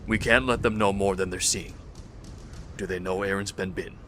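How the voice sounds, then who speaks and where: Casting: male actor for wary, authoritative security type, lowish voice, grave drama delivery, noisy track. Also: fairly even intonation, sharp tone. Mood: grave, guarded. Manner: serious, deliberate, authoritative. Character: guarded, suspicious man with security training. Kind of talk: dramatic audio drama acting.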